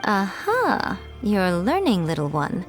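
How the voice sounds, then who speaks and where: A woman's voice: mid-range voice, wildly swooping pitch, slow pace, soft background music. A woman voicing a sly, self-assured female fox spirit in a video game, speaking in a smug, teasing, theatrical drawl. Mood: coolly teasing. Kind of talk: video game character acting.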